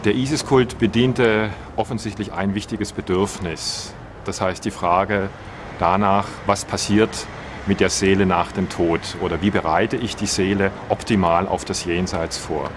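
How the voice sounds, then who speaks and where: Low-voiced archaeologist on a noisy street. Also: male voice, fairly even intonation, measured pace. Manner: thoughtful, with hesitations. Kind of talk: documentary interview. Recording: outdoor street noise.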